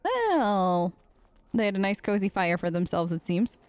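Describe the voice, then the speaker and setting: A woman giving chatty, excitable gameplay commentary as a streamer, talking straight to her viewers. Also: medium pitch, unhurried, smooth, dry recording. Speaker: let's play streamer.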